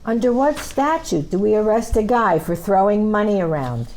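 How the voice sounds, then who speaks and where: Skeptical woman official played by an actress, brisk and dry, lively intonation, mid pitch, TV script reading. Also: a little hiss or hum, lightly acted. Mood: impatient, skeptical.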